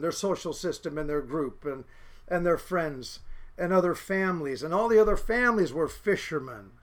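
A middle-aged man with a notably high voice, raised speaking Norwegian, looks back on his childhood in a loose, rambling way. He stops and restarts his sentences often, leaning on fillers as he talks. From Seattle area, USA. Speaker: fisherman's son recalling childhood. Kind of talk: casual reminiscing.

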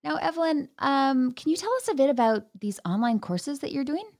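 A woman's voice: high voice, animated, brisk, no room sound. Enthusiastic woman host, dry sound. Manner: enthusiastic, warm storytelling with fillers. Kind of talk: casual interview chat.

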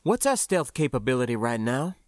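A man's voice: medium pitch, very animated, a little fast, no room sound, clean studio sound, lightly acted. A man voicing a commanding female starship captain who barks curt orders. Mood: brisk.

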